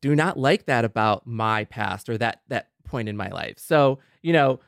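A man's voice: medium pitch, animated, a little slow, gravelly, dry recording. Agreeable American man co-hosting, dry sound. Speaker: podcast co-host. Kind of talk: casual podcast discussion.

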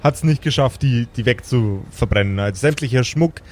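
A man's voice: medium pitch, normal speed. A man narrating an improvised tabletop fantasy game as game master, often repeating words as he searches for the next phrase.

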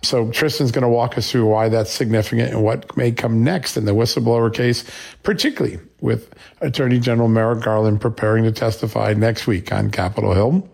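A man hosts a political news commentary show in a gravelly, fry-edged voice. He is a little scratchy from a flu and speaks in emphatic, punchy statements. His pitch sits in an ordinary middle range for a man. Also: fairly even intonation, fairly quick, a little mellow. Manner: emphatic, builds points with short punchy questions.

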